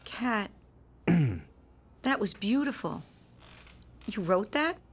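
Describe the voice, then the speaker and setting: Young mom of a sick baby in a radio drama, highish voice, earnest, emotional, fragile. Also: female voice, animated, slow. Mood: tender, grateful.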